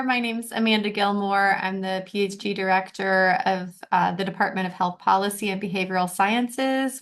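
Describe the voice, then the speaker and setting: A woman's voice: medium pitch, a bit bright. A woman program director giving a brief self-introduction as a panelist on a video call.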